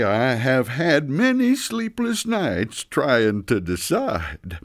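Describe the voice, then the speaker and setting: A man playing a weary, loving father figure, the Christmas leader, speaking in halting, deliberate announcements in a storybook tale. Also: mid-range voice, exaggerated voice.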